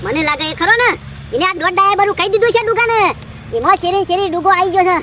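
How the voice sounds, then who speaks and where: A man playing an aggrieved, scheming would-be gangster in a cartoon comedy, complaining and scolding in a rural North Gujarati accent, his voice pitched up and sped up. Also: quick pace, bright, forceful tone, slight background noise. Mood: indignant, scolding.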